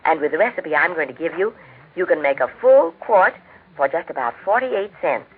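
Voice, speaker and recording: A woman's voice: low-pitched, expressive, normal speed, slightly rough. A woman hosting a 1940s radio program, narrating from a script in a polished, cheerful manner. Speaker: radio host and story narrator. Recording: tinny, muffled 1940s radio recording.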